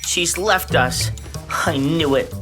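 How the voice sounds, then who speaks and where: A woman's voice: low-pitched, slow, over loud music. A woman voicing an anxious, melodramatic schoolboy sidekick in an audio drama, whining and exaggerating. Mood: panicky, whining. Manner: whiny, exaggerating.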